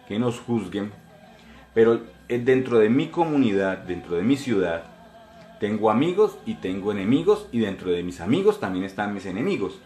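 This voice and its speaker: A man's voice: on the low side, a little mellow, reverberant. A man in his forties with a Colombian accent, a pastor giving an earnest testimony in a sermon, addressing listeners directly and often repeating himself. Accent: Colombian Spanish. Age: 40s.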